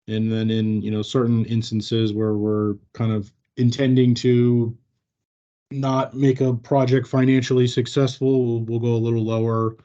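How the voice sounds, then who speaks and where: A man working as a company trainer, answering staff questions in an informal, halting, hedging way. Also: medium pitch, level tone, mellow, highs cut off. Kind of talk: informal work training discussion.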